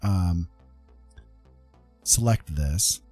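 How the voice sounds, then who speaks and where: A man with a deep, dark, slightly rough voice teaches digital art on a casual stream. He is informal and easygoing, tagging his points with little check-in questions. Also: extremely slow, soft background music. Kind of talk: casual live tutorial talk.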